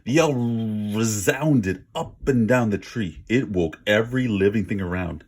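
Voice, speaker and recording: A man's voice: lowish voice, unhurried, a little mellow, slight background noise. A man reading a children's story aloud with dramatic, emphatic word stress and an informal manner. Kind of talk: expressive read-aloud narration with casual asides.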